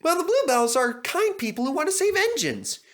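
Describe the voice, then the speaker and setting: A man voicing an eager, earnest young tank engine in a children's story, with a squeaky, chattering delivery. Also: very animated, moderate pace, fairly bright tone, full character voice. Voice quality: squeaky, high-pitched. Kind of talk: animated children's story character voice.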